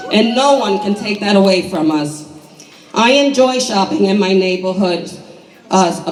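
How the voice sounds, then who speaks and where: A woman in her sixties gives a prepared statement in a hoarse voice. She is a community coalition leader and reads it earnestly to the people at a town hall. The sound is roomy and comes from well back from the mic. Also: mid-range voice, a little mellow. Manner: earnest, reading a personal statement. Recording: echoey hall from far off.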